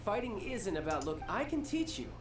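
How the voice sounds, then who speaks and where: An actor playing a confident, theatrical young military commander in a video game, stern yet teasing. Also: male voice, sits high, big pitch swings, normal speed. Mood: earnest, brotherly. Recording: roomy, distant game audio under music. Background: game music.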